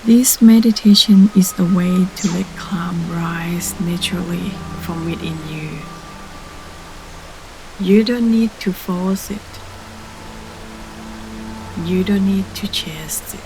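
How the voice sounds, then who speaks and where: A woman gently guiding a meditation in a soft voice that turns breathy at phrase ends, soothing and unhurried, with long pauses. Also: fairly low pitch, rough recording. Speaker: meditation guide. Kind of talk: guided meditation narration. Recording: clearly audible steady background ambience noise.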